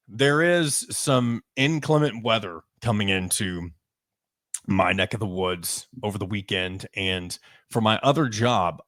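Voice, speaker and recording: A man with a lowish voice talks through a sports podcast in a loose, conversational way, stopping for ums and restarting his sentences. The sound is close and dry, with no room around it. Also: fairly bright tone, no echo. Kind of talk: casual sports podcast talk.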